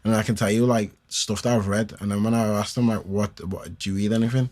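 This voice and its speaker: A man, a podcast co-host telling a story in animated casual chat. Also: on the low side, a little fast.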